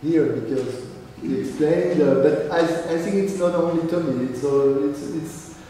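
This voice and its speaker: A man whose first language is French, speaking haltingly with many restarts as a panelist at a seminar. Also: fairly high pitch, mellow, far from the mic, a little hiss or hum. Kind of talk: discussion answer. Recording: echoey hall, recorded from afar.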